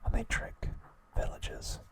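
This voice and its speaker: A man whispering softly and deliberately in short phrases, narrating a recap in ASMR style with a breathy, unvoiced voice. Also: a little hiss or hum. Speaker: host of an ASMR channel.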